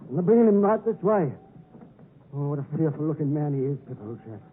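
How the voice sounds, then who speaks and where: Kindly, simple blacksmith male actor: slightly high voice, quick rustic patter, old radio play. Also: animated, close to an everyday voice. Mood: kindly, gentle. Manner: gentle, rustic, deferential. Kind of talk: 1950s radio drama acting. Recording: tinny, noisy 1950s radio broadcast.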